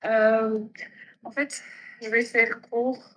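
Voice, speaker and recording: A woman's voice: on the high side, little pitch movement, drawn-out words, lo-fi sound. Woman in her 30s from morocco on a call, lots of euh and restarts, faint hiss. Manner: hesitant, full of "euh" and restarts. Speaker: caller. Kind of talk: casual phone-in storytelling.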